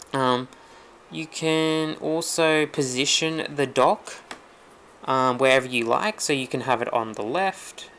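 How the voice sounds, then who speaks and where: Man, casual tech tutorial host, gravelly fry, easygoing and loose with plenty of ums. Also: mid-range voice, slight background noise. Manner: relaxed, rambling, many ums. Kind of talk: casual screen-recorded walkthrough.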